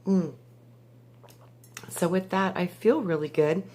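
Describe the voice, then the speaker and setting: A woman, hoarse from a cold, giving a slow, measured spiritual talk with many pauses. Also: on the low side, dark tone. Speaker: spiritual teacher.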